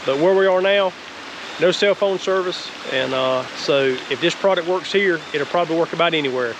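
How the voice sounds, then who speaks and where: Folksy Southern US man, higher-pitched voice. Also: normal speed. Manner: folksy, rambling. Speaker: homestead vlogger reviewing a product. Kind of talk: casual unscripted product review. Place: outdoors, wooded creek, then cabin deck. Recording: clear steady background hiss outdoors.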